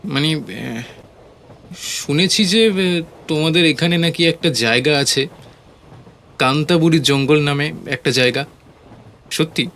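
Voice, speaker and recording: A man's voice: fairly high pitch, unhurried, pauses often, fairly bright tone, slight background noise. A man playing a curious, polite city visitor who speaks hesitantly in an audio horror story. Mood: hesitant curiosity.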